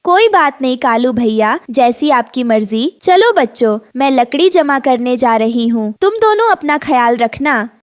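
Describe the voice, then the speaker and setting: A woman's voice: sits high, expressive, measured pace, few pauses, dry recording, studio quality. A woman voicing a kind, hardworking mother bird in a cartoon fable, earnest and motherly. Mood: caring, earnest. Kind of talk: animated fable dialogue.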